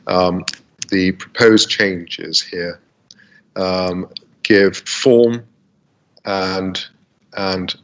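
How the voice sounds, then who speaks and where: A man with a British accent giving a formal statement over a video call at a planning hearing, halting with many fillers and restarts, his throat dry and croaky. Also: sits low, fairly even intonation, dull audio. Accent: British English. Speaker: council planning witness. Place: air-conditioned room.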